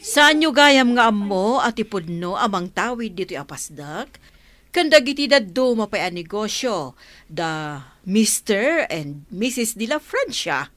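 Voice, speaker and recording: An authoritative aunt in a Filipino radio drama. Her mid-pitched voice swings widely, declarative and expressive, in a close, dry recording. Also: measured pace, no room sound. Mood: announcing. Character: authoritative aunt introducing the new boss.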